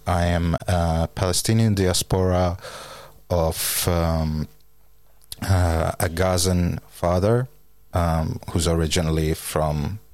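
A man from Finland talks slowly and haltingly, his voice very low and deep. He fills his pauses with ums and uhs. The sound is dry and close. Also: little pitch movement, darkish tone, dry recording. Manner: hesitant, halting, many fillers. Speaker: guest. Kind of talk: casual conversation.

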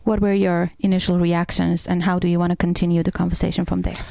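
A woman host asks her questions in a steady, guiding way. Her voice is somewhat low and mellow, with plenty of gravelly fry. She speaks in a flat, even tone, recorded dry. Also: quick pace. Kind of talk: interview questions.

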